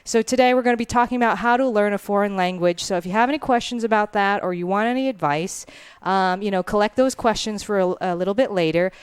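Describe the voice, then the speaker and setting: A woman's voice: mid-range voice, fast talker, clear, resonant tone, no echo. A woman who grew up speaking Thai, co-hosting a casual podcast and chatting through the day's schedule with lots of ums. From Thailand. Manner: chatty, rundown-style, many ums. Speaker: podcast co-host. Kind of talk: casual chat.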